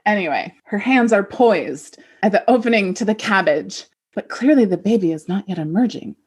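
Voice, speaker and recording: A woman's voice: medium pitch, expressive, moderate pace, breathy edge. A woman co-hosting a podcast in casual comedic chat, narrating with playful mock-seriousness and dropping in wry asides. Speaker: podcast co-host; midwife.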